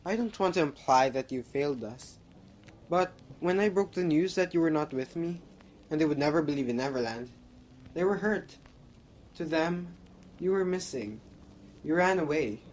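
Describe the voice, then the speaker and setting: A man's voice: a little high, lively intonation, moderate pace, pauses often, darkish tone, a little hiss or hum, lightly acted, low-quality recording. A man playing a dutiful, earnest brother and doctor in an amateur audio drama, with formal phrasing. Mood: grave.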